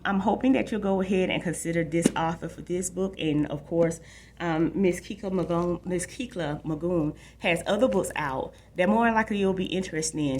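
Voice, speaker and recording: A woman's voice: fairly low pitch, slight background noise. A woman talking casually to young viewers as a librarian, informal and conversational, often repeating her phrases. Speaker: librarian presenting a book for tweens.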